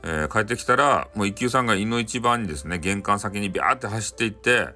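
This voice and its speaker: A man with a Hakata dialect informally telling a bedtime folktale in a folksy, conversational way with halting phrases. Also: on the low side, expressive, bright, forceful tone, faint music behind. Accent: Hakata, Japan. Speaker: storyteller.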